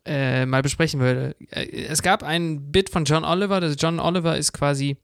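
A man's voice: on the high side, fairly even intonation, normal speed, gravelly, no echo, clean studio sound. A man co-hosting a podcast in casual chat. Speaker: podcast co-host.

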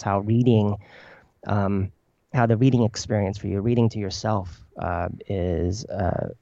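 A man's voice: on the low side, warm tone, dry recording, highs cut off. A man whose first language was Chinese, a poet answering interview questions thoughtfully, with many fillers and restarts. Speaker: poet guest.

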